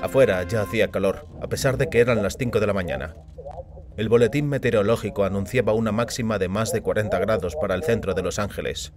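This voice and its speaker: A man's voice: lowish voice, fast talker, music in the background. A man reading a short story aloud as a scripted audio fiction narrator.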